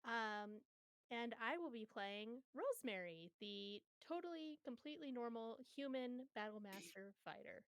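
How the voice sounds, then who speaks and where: A woman's voice: a little high, expressive, unhurried, no room sound. A woman introducing her character in a tabletop game, playful and wry. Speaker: player. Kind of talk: casual chat.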